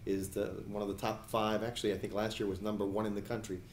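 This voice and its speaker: A man in his forties, originally from New Jersey, speaks with a fairly low voice in a measured, deliberate way, pausing often on small fillers as he gathers his thoughts. He answers interview questions about his career. His voice carries a noticeable roomy echo. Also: little pitch movement, a little hiss or hum. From New Jersey, USA. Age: 40s. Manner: measured, frequent hesitation fillers. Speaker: attorney and judicial candidate. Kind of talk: editorial board interview.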